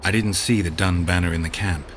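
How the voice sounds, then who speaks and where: A stoic monster hunter from a fantasy game, with a very low voice. He talks bluntly and deadpan, all business. Clear noise runs behind him. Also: brisk. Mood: stoic, serious. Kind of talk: dramatic video game acting.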